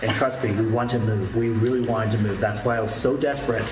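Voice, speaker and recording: A man's voice: on the low side, steady tone, fast talker. A man speaking candidly to his viewers about himself in a personal streamer monologue. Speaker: YouTuber streamer. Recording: loud-enough roomy echo with background noise.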